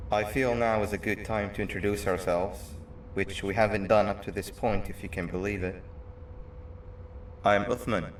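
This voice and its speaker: A man playing a talkative, rueful former facility worker in a video game, in a measured conversational tone. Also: on the low side, fairly even intonation, slight background noise, close to an everyday voice. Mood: rueful, confiding. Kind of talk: scripted video game dialogue. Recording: echoey, distant, music underneath.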